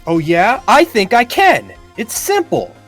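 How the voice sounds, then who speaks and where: A man's voice: sits high, wildly swooping pitch, unhurried, a bit bright, faint music behind, exaggerated voice. A man voicing an earnest young male defense attorney in a game voice-over, emphatic and sardonic. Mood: cocky. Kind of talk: improvised video game voice-over.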